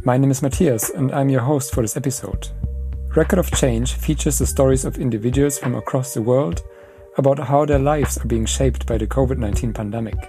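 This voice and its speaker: A man hosts a podcast and reads his scripted introduction at a steady, measured pace. His voice is mellow and of middling pitch, with soft music under it. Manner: measured, plainly read. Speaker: podcast host.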